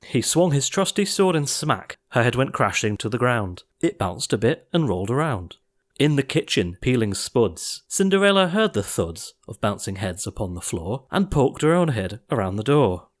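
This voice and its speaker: A man narrating an audiobook of rhyming verse in a theatrical, expressive storytelling style. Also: medium pitch, moderate pace.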